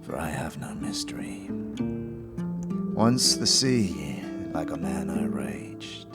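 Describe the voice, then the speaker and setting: A man's voice: very deep voice, animated, creaky, full character voice. An actor playing a mad, eyeless old man who chants cryptic riddles theatrically in a fantasy audio drama. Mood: brooding, cryptic. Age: older. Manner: theatrical, cryptic, slow chanting delivery with sudden outbursts. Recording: loud music under the voice.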